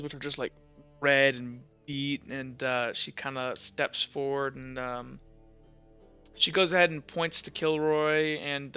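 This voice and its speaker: A man narrating a tabletop game as game master in a casual, halting, conversational way. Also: mid-range voice, bright, forceful tone, clear, resonant tone. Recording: slightly dull, lo-fi audio. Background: music.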